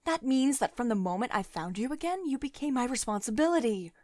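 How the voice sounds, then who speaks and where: A woman's voice: on the high side, lively intonation, brisk, darkish tone, no echo, studio quality, lightly acted. A woman playing a commanding, protective tech CEO who is secretly devoted and tender, in an intimate ASMR roleplay monologue. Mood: agitated, protective.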